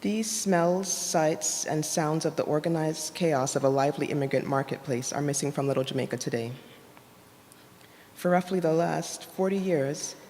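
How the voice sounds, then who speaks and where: A woman with a soft voice delivers a prepared academic talk. Her delivery is measured and formal, with careful wording. The room adds long reverb, and some noise sits behind her. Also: sits low. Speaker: academic presenter, designer. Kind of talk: prepared conference talk read from notes. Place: indoor conference room at a university. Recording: reverberant hall, noticeable background noise.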